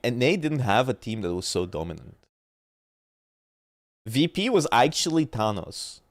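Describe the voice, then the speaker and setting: A man's voice: mid-range voice, very animated, measured pace, no room sound, clean studio sound. A man streaming casually, rambling and arguing about competitive teams and often losing his thread, with a stuffy nose. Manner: rambling, argumentative, trails off mid-point. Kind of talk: casual gaming chat.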